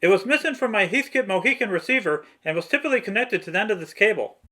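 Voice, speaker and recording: A man's voice: sits high, animated, quick pace, pushed and bright. A man reading a scripted, step-by-step technical tutorial narration.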